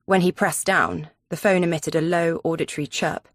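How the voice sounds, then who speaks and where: A woman's voice: lowish voice, normal speed, no echo, clean studio sound. A woman narrating a suspense audiobook in the first person.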